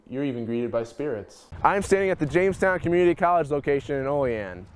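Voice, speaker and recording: A man's voice: a little high, darkish tone, slight background noise. A man reporting as a student TV news journalist, reading scripted narration.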